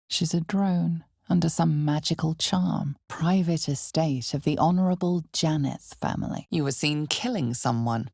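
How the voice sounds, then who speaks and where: Ominous, slow, low-voiced fantasy game narrator. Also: female voice, dry recording, studio quality, heavily acted. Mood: wry, dry. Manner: measured, theatrical, hushed and breathy at times.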